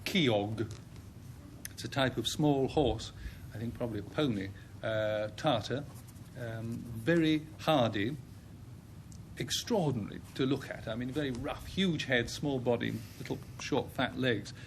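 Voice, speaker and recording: A man on a panel game giving a halting, hesitant definition. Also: medium pitch, slight background noise.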